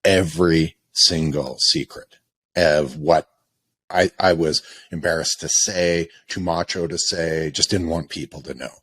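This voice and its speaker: A man with a very low voice, hosting a podcast in a studio. He is from Canada and talks with lively energy, joking and confessing to his guests in casual chat. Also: a little slow, husky. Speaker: podcast host.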